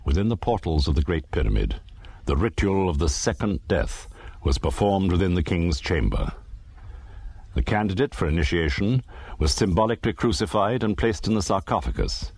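Really deep husky guy, grave theatrical documentary narrator, voice goes up and down a lot. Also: animated, moderate pace, a little mellow, dry recording.

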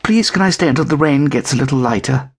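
Storybook kitten, male narrator performing: small, timid, polite, pleading, pitched up. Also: quick pace, husky. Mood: pleading, sad. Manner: pleading, meek, stammering.

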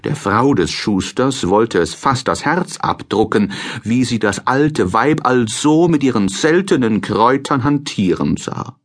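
A man reading a children's fairy tale as an audiobook narrator, in a measured, expressive storytelling manner. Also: medium pitch.

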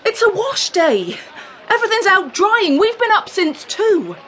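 High-voiced, exasperated young English wife. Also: female voice, very animated, measured pace, pushed and bright, exaggerated voice. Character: exasperated, hard-working young wife in Restoration London. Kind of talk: full-cast radio period drama.

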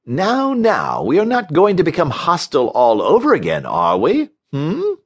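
A man acts out a smug male division chief from a comic audiobook. His voice is pitched up and swoops in syrupy, soothing questions. He stays calm and condescending, as if handling a difficult patient. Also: exaggerated voice. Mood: unctuous soothing. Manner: soothing, oily, patronizing, drawn-out questioning hmms. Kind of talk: audiobook character voice in a comic story.

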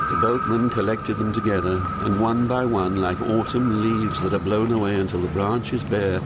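An actor playing a poet who recounts his journey through Hell in sombre, measured literary narration, atmospheric noise behind him. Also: fairly low pitch, close to an everyday voice. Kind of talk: dramatised audiobook, literary first-person narration.

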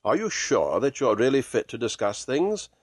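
A man voicing a lean gentleman detective in a storybook, measured, precise and nonchalant, cool and commanding. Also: mid-range voice, big pitch swings, husky, no room sound, clean studio sound, voice pushed down. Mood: composed, assured.

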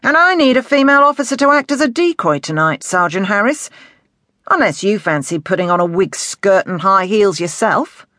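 A man performs a brash male detective inspector for an audiobook. The voice is raised and forceful, blunt and used to being obeyed, with a very dry, close sound. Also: high voice, big pitch swings, normal speed, no room sound, pitched above the performer's own voice. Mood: brisk, persuasive. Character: brash, commanding male police detective inspector, cheeky charmer. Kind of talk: audiobook character dialogue.

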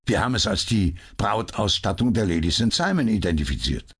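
A man's voice: lowish voice, lively intonation, a little fast, a little hiss or hum, voice pushed up, lightly acted. A man reading an audiobook, voicing an indignant, bitter police inspector who barks his words. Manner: blustering and bitter. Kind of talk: audiobook character voice.

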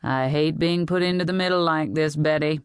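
Audiobook role: gentle grandmother, read by a woman, peacemaking, mildly chiding, slightly low voice. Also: normal speed, clean tone, no room sound, studio quality, lightly acted. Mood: troubled. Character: gentle grandmother caught between daughter and granddaughters. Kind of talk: audiobook character voice.

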